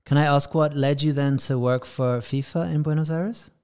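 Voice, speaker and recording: A man's voice: on the high side, fairly even intonation, moderate pace, darkish tone, smooth. A man with an English accent, hosting a podcast and interviewing in a conversational style. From England.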